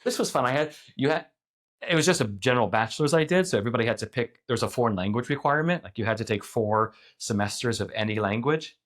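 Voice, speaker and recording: A man's voice: mid-range voice, expressive, quick pace. A middle-aged man in a casual podcast interview, thinking aloud with many restarts and filler words. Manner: thoughtful, rambling, frequent restarts and "like". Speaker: podcast guest.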